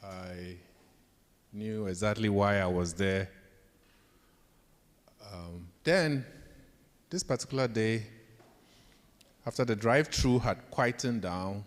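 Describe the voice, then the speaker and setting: A man's voice: fairly low pitch, slow pace, long pauses. A man with a Ghanaian accent giving a storytelling leadership talk to students as a professor, acting out bits of dialogue in an anecdotal way. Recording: echoey hall with long reverb.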